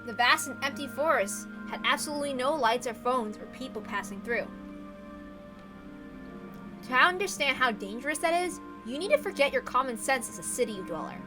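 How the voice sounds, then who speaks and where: A woman reading a visual novel aloud as expressive scripted narration. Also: sits high, moderate pace. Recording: music playing behind the voice. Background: background music.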